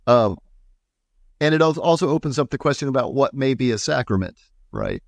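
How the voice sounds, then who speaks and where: An older man in his sixties speaks as an interview guest with a pastor's earnestness. His delivery is thoughtful and halting, circling back over phrases. The sound is dry, with very little room. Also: mid-range voice, lively intonation, gravelly, no room sound. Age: 60s. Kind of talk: conversational interview.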